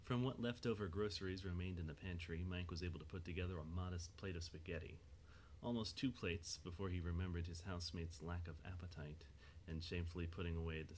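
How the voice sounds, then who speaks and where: A man's voice: low voice, slight background noise, rough recording. A man narrating a scripted story for an audio drama.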